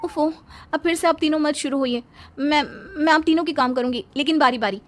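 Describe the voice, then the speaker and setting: A woman's voice: high voice, brisk, a bit bright, a little hiss or hum. A woman voicing a dutiful, flustered young daughter-in-law in a cartoon, hesitant and placating.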